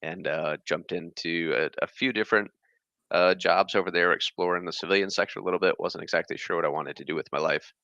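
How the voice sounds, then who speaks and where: A man's voice: sits low, quick pace, pushed and bright, no echo, highs cut off. A man in his thirties who was born in Germany and raised in the US, talking as an interview guest in a casual, rambling way with many fillers. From born in Germany, raised across the US. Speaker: guest, fish channel creator.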